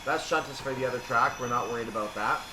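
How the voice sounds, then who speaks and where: A man with a medium-pitched voice commentates on his train simulator session, unscripted and explanatory. He reasons aloud as he goes. The sound is echoey and set back from the mic, with a steady rumble behind him. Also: fast talker, far from the mic. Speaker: gaming video host.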